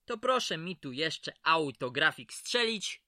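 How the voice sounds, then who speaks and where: A man's voice: a little high, very animated, a little slow, sharp tone, slight background noise, full character voice. An actor playing a chatty, folksy male prison clerk in an audio drama, familiar and mildly condescending. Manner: familiar, patronising, colloquial.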